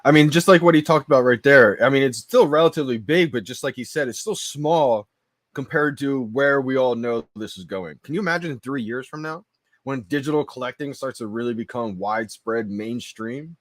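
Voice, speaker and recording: A man's voice: medium pitch, a little fast, dry recording. A male livestream host giving casual, chatty commentary. His voice sits in a middle register and the recording is dry, with very little room sound.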